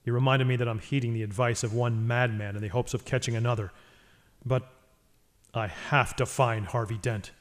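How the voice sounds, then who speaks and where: An actor playing a brooding, driven masked vigilante detective in a radio noir, terse and demanding. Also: male voice, mid-range voice, steady tone, moderate pace, lightly acted. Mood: grim, brooding. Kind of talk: radio noir acting and narration.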